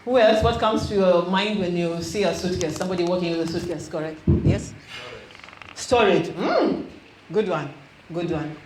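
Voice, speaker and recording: A middle-aged Kenyan woman, originally from Western Kenya, leads a youth session as a minister. She keeps it lively by quizzing the room. Her handheld microphone picks up the echo of a church hall with a faint hiss behind her. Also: on the low side. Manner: engaging, quizzes the audience, mixes in Swahili. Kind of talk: interactive teaching to a youth group. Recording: echoey hall with faint noise.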